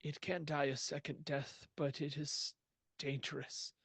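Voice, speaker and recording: A man putting on a haunted mystic from a tabletop game who speaks in a slow, halting, flat way. His voice sits a little higher than his own and comes across dry and close. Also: husky, no echo, exaggerated voice.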